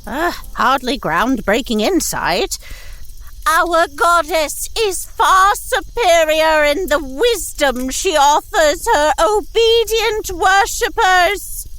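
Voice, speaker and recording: A vain, condescending witch delivers sarcastic lines for a horror comedy audio drama. The voice is extremely high and sharp, drawn out slowly with haughty disdain. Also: expressive, exaggerated voice. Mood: sneering.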